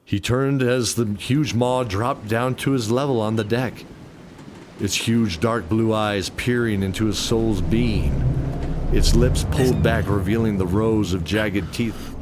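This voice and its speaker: Male storyteller over loud background noise. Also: on the low side, unhurried. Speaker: narrator. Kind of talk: scripted fantasy story narration, descriptive.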